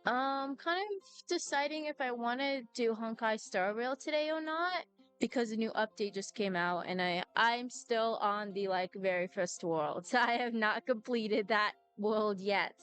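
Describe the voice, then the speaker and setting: A young woman with a slightly high voice chats on a game livestream. Her delivery is casual, rambling and informal, with game music in the background. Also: a little slow, fairly bright tone, clear, resonant tone. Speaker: game streamer. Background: video game music.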